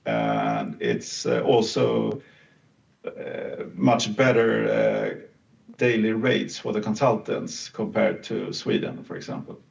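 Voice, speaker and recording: A middle-aged company founder presenting in a webinar, slow and hesitant with many fillers. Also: male voice, fairly low pitch, big pitch swings, vocal fry, darkish tone. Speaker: CEO and founder. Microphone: video call. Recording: distant dull video call audio.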